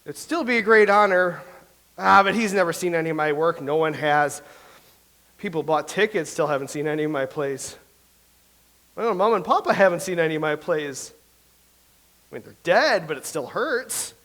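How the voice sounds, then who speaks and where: An actor playing a nervous, self-doubting Elizabethan playwright who stammers and speaks theatrically in a staged reading. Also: male voice, on the high side, animated, moderate pace, pauses often, fairly bright tone. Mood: fretful. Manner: theatrical, fretful, stammering soliloquy. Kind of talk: staged reading of a comedy play.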